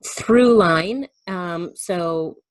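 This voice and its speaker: A woman with a somewhat low voice talks as a guest in a casual conversation. She explains things thoughtfully and haltingly, acting out her inner thoughts as short quotes. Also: rough recording. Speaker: guest on a remote call.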